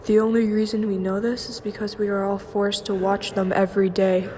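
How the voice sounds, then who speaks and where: A woman's voice: mid-range voice, flat delivery, a little fast, warm tone, a little hiss or hum, close to an everyday voice. A young woman playing a resigned captive who explains grim things in a matter-of-fact way, in an amateur radio drama. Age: young adult.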